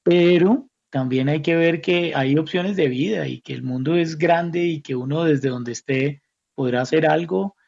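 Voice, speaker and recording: A man's voice: fairly high pitch, unhurried, vocal fry, darkish tone. A Colombian man speaking as an academic guest in an interview, thinking aloud reflectively and often repeating words as he searches for the next one. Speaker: academic guest, institute director. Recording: slightly dull, muffled audio.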